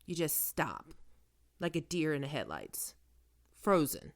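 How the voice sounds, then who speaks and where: A woman playing a probing, smoothly manipulative psychiatrist in an ASMR roleplay, speaking in measured, deliberate phrases. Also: deep voice, wildly swooping pitch, heavily acted. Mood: probing, insinuating. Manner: measured clinical questioning with deliberate pauses. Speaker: voice actor performing a roleplay.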